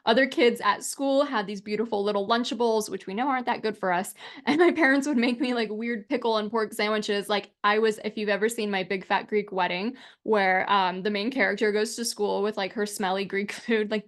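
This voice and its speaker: Chatty upbeat woman teaching an online class. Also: medium pitch. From United States. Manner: chatty and upbeat, lots of "like" and "right?". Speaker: alcohol-free coach teaching an online class. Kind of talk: casual spoken class presentation over slides. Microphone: webcam and desk mic on a video call.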